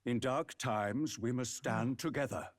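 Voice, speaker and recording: An actor playing a grave high churchman in a fantasy video game, formal and weighty in his speech. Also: measured pace, lightly acted. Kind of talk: dramatic video game acting.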